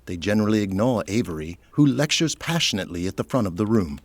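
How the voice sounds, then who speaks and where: Deep, expressive male drama narrator. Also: quick pace. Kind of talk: scripted audio drama narration.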